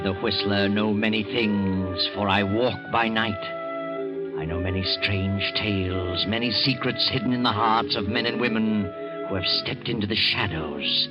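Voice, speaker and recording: An actor playing a mysterious narrator who walks by night, telling a tale slowly and ominously in a 1940s radio drama. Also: male voice, fairly low pitch. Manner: slow, ominous storyteller. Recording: dull old radio broadcast with music.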